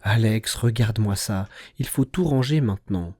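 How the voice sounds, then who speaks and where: A man's voice: lowish voice, normal speed, a little mellow, dry recording, clean studio sound, lightly acted. A man voicing a young bear cub, a bossy and easily annoyed big brother in a children's storybook. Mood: exasperated.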